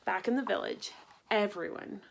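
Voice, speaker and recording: A woman reading a picture book aloud for children in an expressive, deliberate way, acting out the story. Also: medium pitch, gravelly, noisy background. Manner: animated, deliberate, acts out sound effects. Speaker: storybook reader.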